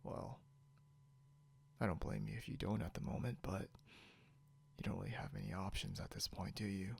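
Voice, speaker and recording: Man acting a very gravelly, possessive captor, low-voiced. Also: slight background noise. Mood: calm, possessive. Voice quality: soft, breathy, often near whisper. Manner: smug, teasing, slow and deliberate. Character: possessive, snarky non-human male captor, condescending yet caretaking. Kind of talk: intimate ASMR roleplay.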